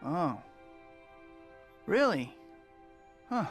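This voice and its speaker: A young American man's fairly high voice, playing an earnest student superhero. He can wisecrack but often turns apologetic and awkward, with faint music behind him. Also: big pitch swings, drawn-out words, exaggerated voice. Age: young adult. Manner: hesitant, awkward, stammering when pressed. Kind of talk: video game cutscene voice acting.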